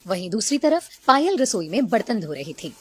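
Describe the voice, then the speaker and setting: A woman's voice: mid-range voice, sing-song, moderate pace, fairly bright tone, slightly rough. A woman reading scripted narration for a cartoon family story.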